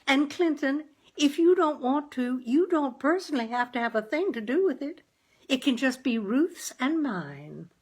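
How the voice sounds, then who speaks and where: A gentle, wheedling mother from a storybook, acted by a woman reader, pitched high and lilting. The talk is chatty, folksy and persistently coaxing. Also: animated, moderate pace. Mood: eager, persuasive.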